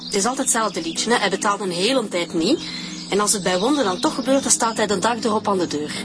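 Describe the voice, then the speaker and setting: An actress playing a sharp-tongued single mother, the suspect's ex-wife, with a Flemish accent in a radio drama. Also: medium pitch, animated, fast talker, close to an everyday voice. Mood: scornful. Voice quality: curt, scornful.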